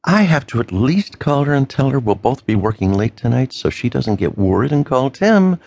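A man's voice: medium pitch, sing-song, normal speed, gravelly, darkish tone, pitched above the performer's own voice. A man voicing a frightened older grandfather who speaks in an anxious, pleading way. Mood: firm.

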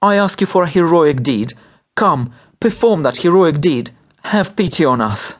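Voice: mid-range voice, expressive, moderate pace, voice pushed up, close to an everyday voice. A reader voicing a distraught young wife, pleading in a tearful, urgent way, in a story. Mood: urgent despair.

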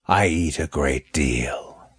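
Man voicing a slow, sly, low-pitched snake. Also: very animated, breathy edge, no room sound, studio quality, heavily acted. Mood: evasive, calm. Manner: slow, drawn-out, sometimes whispery speech. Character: large boa constrictor snake, sly, unhurried, amused male. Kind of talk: children's audiobook character.

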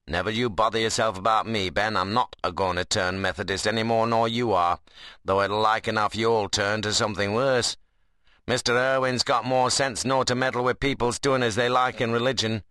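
Man acting a forceful, stern country workman. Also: lowish voice, fairly even intonation, normal speed, no room sound. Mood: blunt, dry. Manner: blunt, firm, earnest rustic dialect. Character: stern, plain-spoken, principled young workman.

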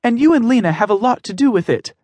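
A man reading aloud and voicing a defiant, sharp-tongued young woman in a raised, high voice. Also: expressive, fast, dry recording. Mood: accusing.

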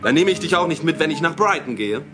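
A man's voice: on the high side, expressive, fast talker, lightly acted. A young man playing a bold, cocky ringleader in a horror radio drama, brash and dismissive, urging his companion on. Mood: dismissive, pushy. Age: young adult. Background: prominent music.

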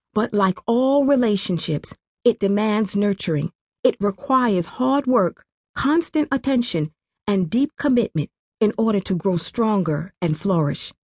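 A woman from Rwanda narrates her own memoir as an audiobook. She reads from a script at a middle pitch for a woman. The sound is dry, with hardly any room around the voice. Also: a little mellow, no room sound.

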